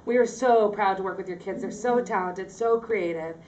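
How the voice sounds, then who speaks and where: A woman's voice: mid-range voice, moderate pace, roomy sound, highs cut off, noisy background. A woman drama camp teacher leading a talkback with her students, quizzing them and laughing often. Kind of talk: post-show talkback with students. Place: school hall with audience.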